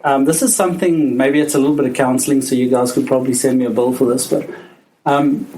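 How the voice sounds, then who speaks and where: A man's voice: medium pitch, fairly even intonation, quick pace, mellow, a little hiss or hum, poor audio. A middle-aged man with a South African accent, a physician telling a personal story live to an audience in an earnest, conversational way. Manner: earnest, conversational, with frequent restarts. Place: library, live event.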